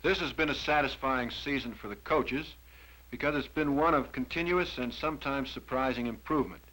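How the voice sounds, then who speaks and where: A man's voice: mid-range voice, fairly quick, bright, forceful tone, rough recording. An American man, a college football coach, delivering a prepared review in a 1960 film.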